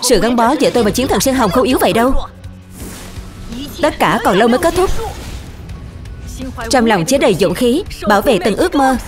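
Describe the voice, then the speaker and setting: A woman's voice: a little high, lively intonation, fairly quick, pauses often, close to an everyday voice. A woman voicing an earnest, brave young boy hero in a dubbed children's cartoon, declaiming with youthful resolve. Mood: defiant, resolute. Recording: music under the dialogue. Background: dramatic music.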